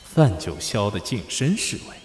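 Inner monologue of a scornful prince male actor: deep, heavily echoed, costume radio drama. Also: very animated, normal speed, a little mellow. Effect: reverberant thought-voice echo. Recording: long reverb, noisy background.

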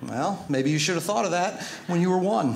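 A man in his forties doing stand-up on a theater stage, his voice sitting a little high. He talks into a handheld mic, animated, sometimes jumping into loud mock yelling. The room is roomy, with clear audience laughter around him. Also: normal speed, very noisy. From United States. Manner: animated, jumps into loud shouting when acting out scolding. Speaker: stand-up comedian. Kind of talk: stand-up comedy set. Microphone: handheld microphone.

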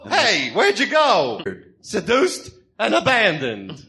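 High, manic clown villain. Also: male voice, huge pitch swings, slow pace, sharp tone, full character voice. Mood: giddy, mocking. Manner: theatrical, mocking, wisecracking. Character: manic cackling clown villain, giggling and sleazy. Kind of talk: comic radio drama acting.

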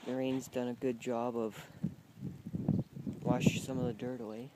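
A man's voice: medium pitch, little pitch movement, measured pace. A young man from Canada giving a casual, folksy, rambling handheld video tour outdoors on a farm. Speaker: vlogger and hobby farmer. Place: outdoors on rural farmland in rain. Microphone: handheld phone or camera mic. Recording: loud outdoor noise, handheld camera.

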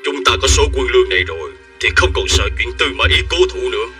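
A man voicing a calm, shrewd middle-aged chancellor and military strategist in a dubbed animated historical drama, composed and assured. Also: mid-range voice, fast. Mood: confident. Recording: music behind, slightly distant.

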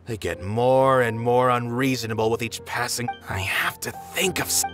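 A man voicing a weary, dutiful theater manager in an anime game, measured and resigned. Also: medium pitch, music in the background, close to an everyday voice.

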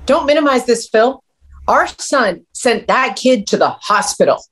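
A woman's voice: fairly high pitch, lively intonation, unhurried, a bit bright. A woman playing a firm, exasperated mother who protects her son, insistent in a script table read. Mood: angry. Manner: confrontational, insistent. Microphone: video call.

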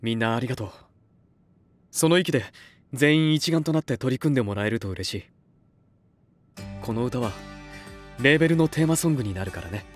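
Gentle, earnest young label boss played by a man. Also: medium pitch, soft background music, natural voice. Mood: supportive. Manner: sincere, encouraging, measured. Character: earnest adult man, gentle supportive new music label head. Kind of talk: Japanese anime voice drama acting.

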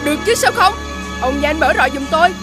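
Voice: sits high, animated, brisk. An actor voicing a cunning young orphan village boy in a fairy-tale cartoon, wheedling and dramatic. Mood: crafty, persuading. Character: cunning orphan village boy, lying trickster, playing pitiful. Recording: loud music behind the voice. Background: music.

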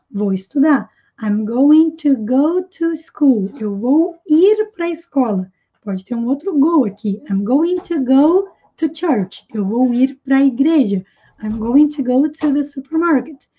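A woman with a high, light voice teaches an English lesson from a small room at home. She says each example phrase slowly, more than once, and then explains it. The audio is dull and lo-fi, like a basic webcam mic. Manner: slow, careful repetition of example phrases. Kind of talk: online language lesson.

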